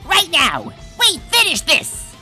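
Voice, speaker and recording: A woman's voice: very high-pitched, big pitch swings, slow pace, music in the background, heavily acted. A woman voicing a brash, cocky young female pony hero in a comic dub, boastful, impatient and dramatic. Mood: defiant, angry.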